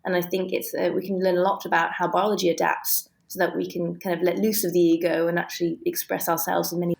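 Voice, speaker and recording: A woman's voice: fairly low pitch, little pitch movement, quick pace, creaky, a little mellow. A woman with a British accent explaining technical detail conversationally and with enthusiasm, as an interview guest. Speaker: guest, scientist and author.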